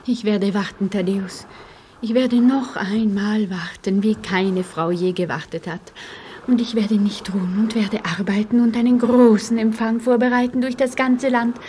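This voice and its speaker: A woman's voice: medium pitch, fairly even intonation, moderate pace, mellow, natural voice. A woman playing a passionate, noble French patriot in a German radio play, with fervent, solemn, theatrical delivery. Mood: ardent devotion. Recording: noisy old radio play recording.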